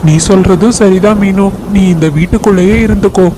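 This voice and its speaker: A voice actor playing a friendly pigeon in a cartoon, eager and chatty, recorded over loud background noise. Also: medium pitch, steady tone, brisk, lightly acted.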